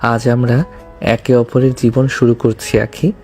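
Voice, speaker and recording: A man playing a tender, devoted and sheepish young groom in an audio love story, with a mellow voice. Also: mid-range voice, little pitch movement, unhurried, faint music behind, natural voice.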